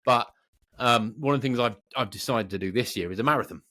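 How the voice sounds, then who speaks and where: A man's voice: mid-range voice, fast, bright, forceful tone. A middle-aged British man co-hosting a podcast, chatting casually in a rambling, self-deprecating way. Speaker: podcast co-host. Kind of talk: casual chat.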